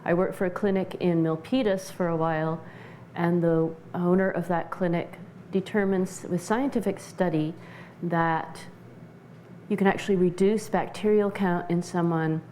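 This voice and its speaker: A woman giving explanatory interview answers as a practitioner, measured and halting as she searches for words. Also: fairly low pitch, darkish tone, slight background noise. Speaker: interview guest, essential oils practitioner.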